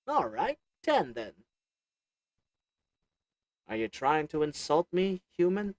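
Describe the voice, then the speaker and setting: Voice actor as a cunning goblin shopkeeper, haggling patter, lively up-and-down delivery. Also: medium pitch, takes it slowly, no room sound. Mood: sly. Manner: wheedling, sarcastic salesman patter; chuckles between lines. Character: sly haggling goblin potion merchant. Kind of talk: audio roleplay acting to the listener.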